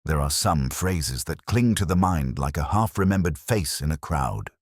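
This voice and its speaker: A man playing a brooding, erudite middle-aged detective who narrates a mystery in measured, literary phrases. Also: very deep voice, animated, no room sound, studio quality. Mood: brooding, reflective. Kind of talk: scripted first-person mystery narration, audio drama.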